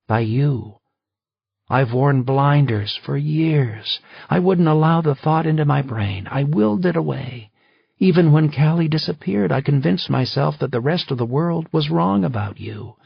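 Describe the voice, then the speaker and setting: Man doing a grieving furious wife, higher and louder, raspy, muffled dry audio. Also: dry recording. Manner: accusing, emotionally intense.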